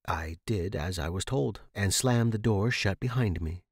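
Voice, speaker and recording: A man's voice: sits low, unhurried, breathy edge, dry recording, clean studio sound. A man reading scripted first-person fiction as the narrator.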